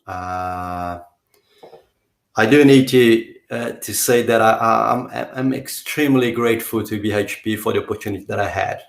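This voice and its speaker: Low-voiced man, halting speech. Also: creaky. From South America. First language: not English. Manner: halting, many restarts and fillers. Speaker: guest, company director. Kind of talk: casual interview talk. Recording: roomy echo.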